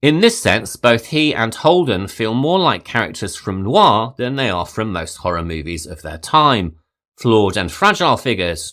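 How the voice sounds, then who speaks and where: A man with a very low yet bright-edged voice delivers scripted film commentary. His delivery is measured and articulate, with a lively rise and fall in pitch that lends emphasis to each point. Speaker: podcast host.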